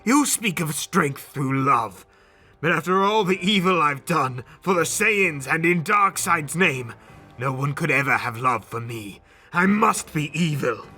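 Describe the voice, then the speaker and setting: Cocky bitter warrior prince, sharp n forceful, big swings in pitch, anime style audio drama, music behind. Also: male voice, lively intonation, a little slow, full character voice. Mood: bitter, broken. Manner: arrogant, declamatory. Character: proud, bitter, defiant alien warrior prince.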